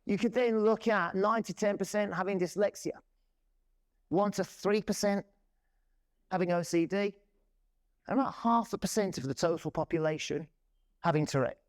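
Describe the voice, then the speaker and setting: A man in his forties giving a loud, lively presentation to a conference audience. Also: high voice, moderate pace, long pauses. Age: 40s. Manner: loud, lively, self-deprecating. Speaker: conference speaker. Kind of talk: presentation talk. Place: conference room.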